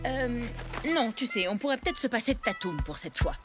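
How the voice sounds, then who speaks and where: A woman voicing a protective, stern but loving mother in a children's cartoon, firm and expressive. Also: on the high side, a little fast, close to an everyday voice. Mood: reluctant. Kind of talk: animated children's cartoon dubbing. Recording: music behind the voice.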